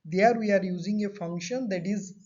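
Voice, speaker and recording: High-voiced man lecturing, dull audio. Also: normal speed, a little mellow. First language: not Hindi. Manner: repeats and rephrases points; explains with everyday examples. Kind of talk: classroom lecture.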